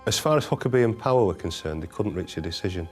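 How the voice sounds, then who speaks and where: A man with a deep voice speaks as a documentary interviewee from the prosecution side. He recounts a criminal case unscripted, with music behind him. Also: expressive, fast talker.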